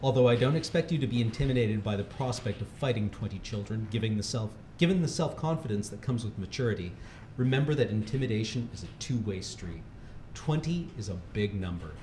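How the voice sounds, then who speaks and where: A man's voice: mid-range voice, animated, a little fast, a little mellow. A man reads a humorous article aloud in a mock-serious, instructional way. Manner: often breaking into laughter. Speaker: reader at a charity livestream. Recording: echoey room with faint background noise.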